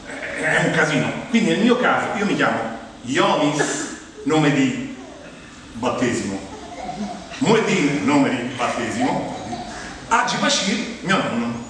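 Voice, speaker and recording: A middle-aged man with a Roman Italian accent performing a humorous, conversational stage monologue, rambling and animated as he tells stories and addresses his listeners. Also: mid-range voice, takes it slowly, crowd noise. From Rome, Italy. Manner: animated, rambling, acts out quoted dialogue, addresses the audience. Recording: very echoey hall, distant mic, clear background noise.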